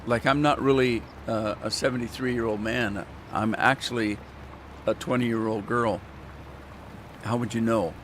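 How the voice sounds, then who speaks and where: A man's voice: mid-range voice, fairly even intonation, a little slow, a bit bright, slight background noise. Rambling, chatty man gaming. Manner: rambling, chatty, joking asides to viewers. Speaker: gaming channel host. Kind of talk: casual gameplay commentary.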